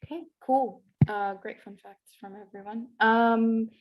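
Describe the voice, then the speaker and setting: A woman's voice: on the high side, fairly even intonation, unhurried, muffled recording. A young woman moderating an online panel, hosting and putting questions to panelists.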